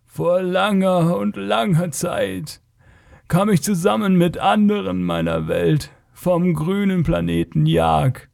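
A man voicing an ancient, blind, tortured alien creature in a story, gentle and sorrowful, with a halting, lamenting delivery. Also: high voice, animated, creaky, pitched above the performer's own voice, exaggerated voice. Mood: weary, solemn.

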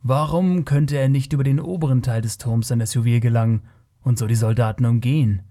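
A man's voice: mid-range voice, fairly even intonation, fairly quick, warm tone, natural voice. A man voicing a blunt, naive and proud young barbarian in a fantasy audiobook, speaking in a curt, earnest way. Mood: argumentative.

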